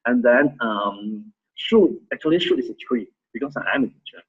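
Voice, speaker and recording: A man in his thirties with a Malaysian accent, sharing his story as a volunteer in a halting way with many restarts. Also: medium pitch, lively intonation, breathy edge, lo-fi sound. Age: 30s. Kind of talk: casual testimony sharing.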